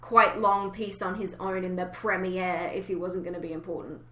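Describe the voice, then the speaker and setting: A woman's voice: on the low side, steady tone, normal speed, bright, forceful tone. A woman hosting a podcast in a casual, chatty style. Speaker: podcast host. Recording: roomy, echoey home recording.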